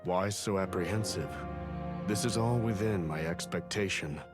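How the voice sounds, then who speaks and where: An actor playing a weary, solemn mystic guardian in a video game, speaking formally and reflectively. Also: male voice, low voice, moderate pace, close to an everyday voice. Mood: calm, assured. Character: weary, solemn male mystic master, guardian released from duty. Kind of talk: dramatic video game voice acting. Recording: loud game music under the voice.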